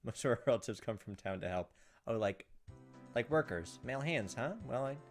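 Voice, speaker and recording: A man's voice: medium pitch, animated, fairly quick, soft background music. A man hosting a let's play, reading a visual novel aloud and commenting casually as he goes.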